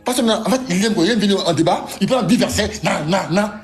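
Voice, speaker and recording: A man's voice: high voice, fairly quick, background noise. A man debating heatedly in a live-stream, stammering and repeating phrases as he cuts in.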